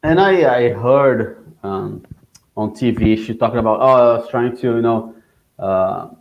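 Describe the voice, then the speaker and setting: Halting man on a dull, lo-fi recording. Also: medium pitch, vocal fry, a little hiss or hum. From South America. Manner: halting, repeats words, argues. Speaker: podcast co-host. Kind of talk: casual chat.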